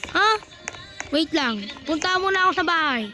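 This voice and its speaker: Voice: extremely high pitch, expressive, normal speed. An exclamatory, slangy voice acting out an improvised horror skit as a series of phone calls, with music behind.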